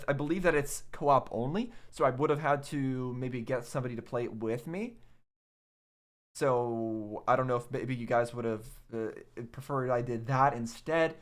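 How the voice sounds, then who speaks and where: A man hosting a reaction video, talking casually and thinking out loud in a rambling way. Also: medium pitch.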